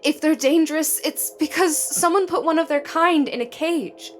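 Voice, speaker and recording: A woman acting in an audio drama as a defiant, idealistic new recruit, speaking in a halting, emotional, indignant way. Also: high voice, slight background noise, natural voice. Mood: indignant, resolute.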